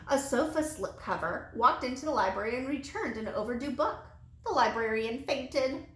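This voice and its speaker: A woman reading a children's storybook aloud in an expressive, animated way with dramatic emphasis. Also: a little high, moderate pace. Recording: roomy, echoey sound.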